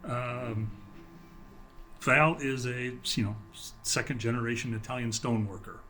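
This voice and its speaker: A man giving an informal product explanation in an interview as a sales director, speaking haltingly with restarted phrases. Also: mid-range voice, steady tone, bright, forceful tone, a little hiss or hum. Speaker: sales director for a loudspeaker maker. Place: exhibit room at an audio trade show.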